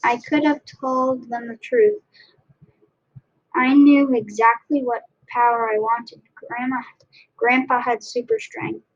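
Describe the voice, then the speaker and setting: A woman reading a storybook aloud in a halting way, restarting phrases as she goes. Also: on the high side, dull audio. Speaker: story reader. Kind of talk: read-aloud storybook narration.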